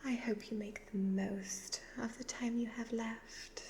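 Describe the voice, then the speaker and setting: Woman doing an evil seductive witch for asmr, half whispering, creaky n really slow, gloating. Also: a little high, fairly even intonation, darkish tone. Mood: menacing, seductive. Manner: slow, deliberate, hypnotic, condescending. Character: evil ageless witch, youthful-looking, gloating, seductive, predatory. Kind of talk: soft-spoken ASMR roleplay. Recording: faint background noise.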